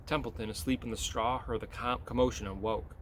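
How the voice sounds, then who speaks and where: A man reading a children's story aloud in expressive narration. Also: mid-range voice, brisk, slight background noise.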